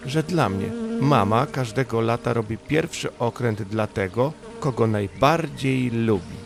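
A man's voice: medium pitch, measured pace, gravelly. A man voicing an earnest, hopeful young troll boy in a storybook, musing to himself, with music behind.

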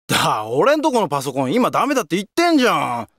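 An actor playing a cocky, hot-blooded young masked hero in a video game, with brash, slangy delivery. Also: male voice, fairly high pitch, wildly swooping pitch, quick pace, fairly bright tone, reverberant, in a large hall, full character voice. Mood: casual, teasing. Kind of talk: video game battle shouts and character lines.

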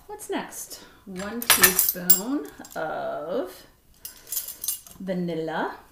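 A middle-aged woman giving a relaxed, chatty cooking demonstration in her home kitchen, talking through each step as she mixes. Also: on the low side, very slow, vocal fry, background noise. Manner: relaxed, chatty step-by-step instructions while mixing. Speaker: cooking instructor. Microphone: fixed camera across the counter. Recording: echoey kitchen with clattering dishes.

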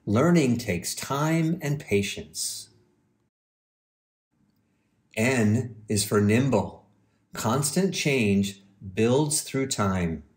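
A man reading a children's storybook aloud with measured, deliberate phrasing. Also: medium pitch, expressive, a little mellow. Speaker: university president, guest reader.

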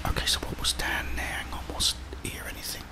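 A man in his thirties whispering soft, unhurried ASMR game commentary into a close microphone. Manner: soft, unhurried, murmuring to viewers. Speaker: ASMR gaming host. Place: small indoor room. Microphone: close desk microphone, headphones worn.

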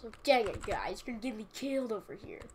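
A woman's voice: on the high side, lively intonation, fast talker, darkish tone. Young woman chatting over a game. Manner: chatty, self-mocking, playful outbursts. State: sniffly from a cold. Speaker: gaming channel host. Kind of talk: casual gameplay commentary. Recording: audible background noise and keyboard clicks.

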